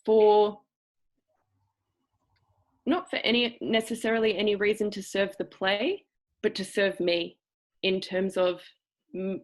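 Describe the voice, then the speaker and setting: A woman with a cultivated, trained-sounding Australian accent, teaching an acting seminar over a video call. She talks thoughtfully, stopping often to find the right word. Her voice sits at a middle pitch for a woman. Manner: thoughtful, searching for words, with pauses. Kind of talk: explanatory seminar talk.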